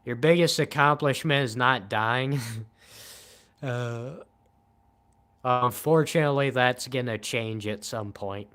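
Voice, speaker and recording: A man in his twenties chatting casually on a late-night livestream in a flat, monotone, low-key way. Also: slow, pauses often, clear, resonant tone. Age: 20s. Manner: monotone, calm and low-key, says he shows little emotion. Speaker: livestream host.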